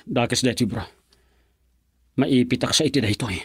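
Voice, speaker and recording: An actor playing a determined, devoted young man in a radio drama, with a husky voice and an earnest, intense manner. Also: mid-range voice, a little slow, noisy background, close to an everyday voice. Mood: shocked.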